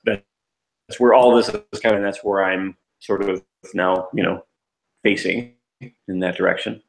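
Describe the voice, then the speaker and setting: Male co-host, lowish pitch, loose rambling delivery. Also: vocal fry, low-quality recording. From United States. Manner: rambling, many restarts and fillers. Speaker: podcast co-host. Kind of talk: casual chat.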